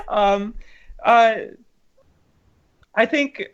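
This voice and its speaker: A woman guest chatting casually on a podcast, halting and searching for words with many fillers. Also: a little high, smooth. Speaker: guest gamer and streamer. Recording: dull lo-fi remote audio.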